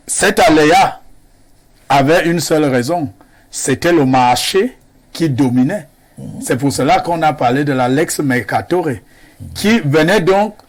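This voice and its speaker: A man from Cameroon, speaking as a guest on a televised panel debate in a measured, lecturing way. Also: a little high.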